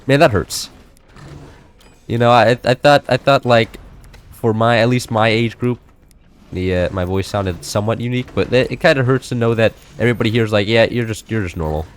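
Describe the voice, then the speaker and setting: A young man in his late teens chatting loosely while playing a game, rambling with many fillers and restarts, his voice a little high for a man. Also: fairly quick, clean tone. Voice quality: slightly high-pitched for a man. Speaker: gameplay streamer. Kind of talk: casual chat while playing.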